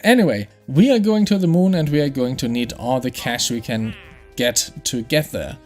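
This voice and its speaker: Brief: gaming host, German accent, chatty, thinking aloud, average pitch. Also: male voice, moderate pace, a little mellow, faint music behind. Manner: explanatory, chatty, thinks aloud with fillers and restarts. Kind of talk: game playthrough commentary.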